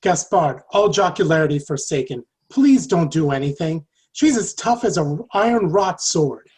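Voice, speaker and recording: A man playing a loyal, devout medieval French soldier in a play reading, earnest and formal with a husky voice. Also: a little high, lively intonation, moderate pace, a little mellow. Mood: wary caution. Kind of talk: stage play table read.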